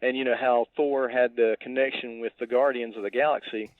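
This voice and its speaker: A man co-hosting a podcast over a tinny line, casually discussing a film in a halting, thinking-aloud way. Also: mid-range voice, steady tone, no echo. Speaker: podcast co-host. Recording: tinny, dry phone-like line.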